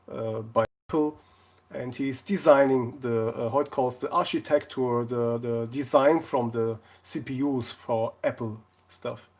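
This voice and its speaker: A man from Portugal, chatting casually on a live stream in a jokey way, often halting to search for words. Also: mid-range voice, darkish tone. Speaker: live streamer, console repair video maker.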